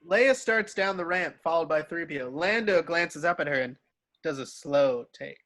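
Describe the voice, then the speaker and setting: A man's voice: on the high side, lively intonation, moderate pace, a bit bright. A man reading screenplay stage directions aloud as scripted narration.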